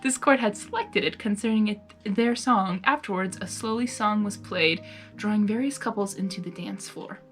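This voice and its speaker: A woman reading fan fiction aloud, narrating and breaking into animated, giggly asides. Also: medium pitch, moderate pace. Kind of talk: story narration with excited casual asides. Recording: music behind the voice. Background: music.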